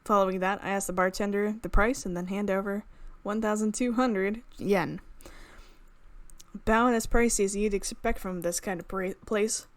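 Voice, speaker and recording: A woman doing expressive let's play commentary, mixing dramatic reading of a visual novel with casual, reactive asides. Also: medium pitch, normal speed, no echo. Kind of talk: game commentary mixed with dramatic read-aloud. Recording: music playing behind the voice.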